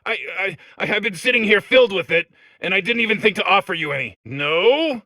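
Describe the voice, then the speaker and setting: A man's voice: sits high, lively intonation, fairly quick, pushed and bright, no echo, exaggerated voice. A man voicing a cheerful, chatty robot core full of sewage in a comedic game, eager and unashamed. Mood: proud, eager. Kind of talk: comedic video game character monologue.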